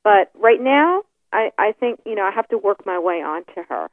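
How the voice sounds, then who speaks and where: A woman's voice: medium pitch, big pitch swings, normal speed, no room sound. Woman on a tinny phone line. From New York. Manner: hesitant, many fillers and restarts. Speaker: interview guest. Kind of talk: casual interview chat. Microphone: telephone.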